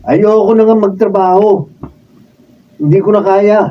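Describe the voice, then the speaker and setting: A man's voice: high voice, fairly even intonation, unhurried. A Filipino man playing a lazy, sullen young husband who whines and complains in a radio drama, over a muffled recording. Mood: irritated, sullen. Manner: whiny, complaining. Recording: muffled, noisy room, distant mic.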